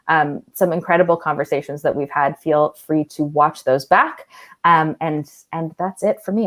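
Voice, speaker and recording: A woman speaking as a library event host, introducing guests at an online event. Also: low voice.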